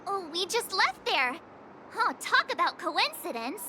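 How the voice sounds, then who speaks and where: A woman voicing a bubbly, chatty teenage girl traveler in a video game, perky and expressive. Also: extremely high pitch, measured pace, slight background noise, heavily acted. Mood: excited. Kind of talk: video game character dialogue. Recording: music behind the voice.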